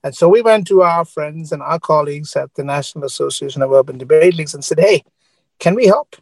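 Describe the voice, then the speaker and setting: A man's voice: fairly high pitch, quick pace, darkish tone, dry recording. A man from Sri Lanka, speaking earnestly as a media executive guest and often checking in with "right?". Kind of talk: earnest panel talk.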